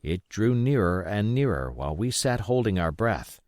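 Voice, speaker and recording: A man reading an adventure novel aloud as expressive scripted narration. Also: on the low side, moderate pace, dry recording, studio quality. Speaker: audiobook reader.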